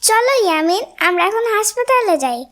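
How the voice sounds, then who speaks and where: A woman's voice: very high voice, expressive, fairly quick. A woman voicing a haughty, spiteful young wife in a cartoon melodrama, scolding and domineering. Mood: defensive. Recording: music under the voice.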